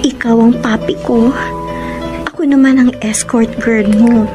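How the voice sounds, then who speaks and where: A Filipino actress playing a modest, guarded young escort in a radio drama, speaking hesitantly and tenderly. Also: female voice, on the high side, fairly even intonation, a little slow, close to an everyday voice. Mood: gently guarded. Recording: music under the voice.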